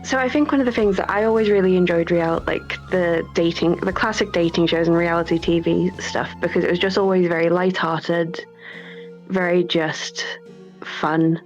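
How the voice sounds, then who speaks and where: A woman's voice: lowish voice, steady tone, normal speed, muffled recording, soft background music. A woman giving casual, informal interview answers as a game developer, thinking aloud as she goes.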